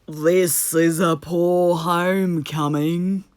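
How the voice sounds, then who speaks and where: A woman voicing a grave but encouraging old male badger from a children's storybook, speaking slowly and emphatically. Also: lowish voice, fairly even intonation, darkish tone, clear, resonant tone, dry recording, studio quality. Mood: grave sympathy. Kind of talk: children's storybook character reading.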